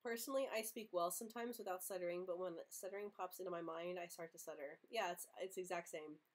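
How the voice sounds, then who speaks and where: A young woman who stutters often, with repeated sounds and blocks, hosting a casual live Q&A, earnest and quick to laugh. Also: fairly low pitch, fairly even intonation. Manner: earnest, open, laughs easily. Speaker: livestream host answering viewer questions.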